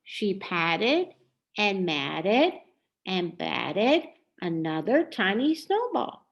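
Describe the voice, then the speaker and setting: A woman reading a children's story aloud in a deliberate, expressive way. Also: mid-range voice, gravelly, muffled recording. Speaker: storybook reader.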